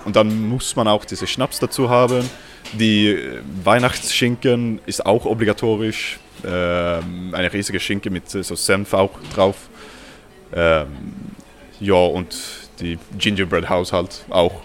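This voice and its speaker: A young man from Sweden answers interview questions hesitantly, stopping often on fillers. His voice sits somewhat low. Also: steady tone, slow pace, slight background noise. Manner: hesitant speech in a second language, many fillers. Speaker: Erasmus student.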